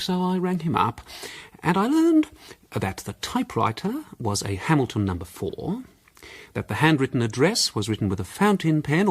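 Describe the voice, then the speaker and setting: A man's voice: medium pitch, breathy edge. An actor playing a self-assured male amateur sleuth in a British period mystery drama, hesitant and fussily precise as he sets out a theory. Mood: measured, self-assured.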